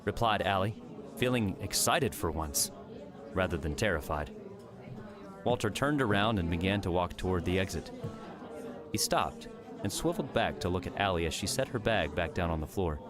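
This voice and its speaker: A man's voice: low voice, animated, normal speed, over music. A man reading scripted story narration as the narrator of an audiobook.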